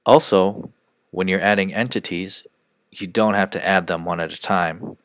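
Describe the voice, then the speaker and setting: Male software trainer, low register, measured instructional screencast delivery, dry studio-style sound. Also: fairly even intonation, dry recording. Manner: measured, step-by-step explaining.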